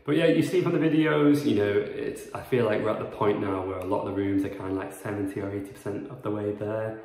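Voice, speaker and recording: A man's voice: on the low side, fast talker, darkish tone. A young man in his twenties giving a chatty, rambling house-tour vlog with lots of fillers, recorded on a phone. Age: 20s. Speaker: property renovation vlogger. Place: empty house under renovation, indoors. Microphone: phone camera, handheld then propped up. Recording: echoey bare rooms.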